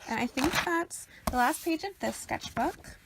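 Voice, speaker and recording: Sniffly woman with vocal fry. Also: high voice, animated, unhurried, background noise. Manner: rambling, many ums and uhs. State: sniffly after a cold. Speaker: artist showing her sketchbook. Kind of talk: casual sketchbook tour.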